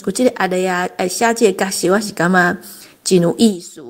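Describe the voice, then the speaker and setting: A woman with a Taiwanese accent casually giving her reflections on a story as a guest, thinking aloud in short phrases. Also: mid-range voice. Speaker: book club guest.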